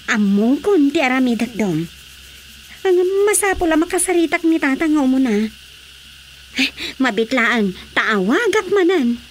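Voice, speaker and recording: High-voiced earnest Ilocano daughter. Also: female voice, big pitch swings, moderate pace, a little hiss or hum. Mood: worried. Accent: Ilocano Filipino. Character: concerned young daughter, earnest peacemaker between her parents. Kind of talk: Ilocano radio drama comedy acting.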